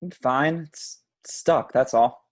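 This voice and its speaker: A man's voice: medium pitch, slow, no echo, close to an everyday voice. A man playing a boyish, sarcastic husband of twenty-nine, with a casual manner, in a table read of a film script. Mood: bantering.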